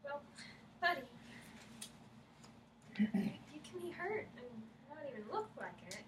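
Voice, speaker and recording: An actress in a staged reading playing an exasperated, blunt but concerned young woman, animated and folksy. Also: sits high, slow, exaggerated voice. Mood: baffled, gentle. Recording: echoey room, distant mic, faint noise.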